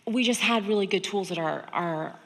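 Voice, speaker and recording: An American woman in her thirties talks to a seminar in a conversational, candid way. Her pitch is average for a woman, and the room adds a long hall reverb. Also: moderate pace, a bit bright. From Georgia, United States. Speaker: seminar speaker and sex therapist. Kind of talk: informal seminar talk, personal storytelling. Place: on stage in an auditorium, seated at a high table. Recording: echoey hall, stage sound.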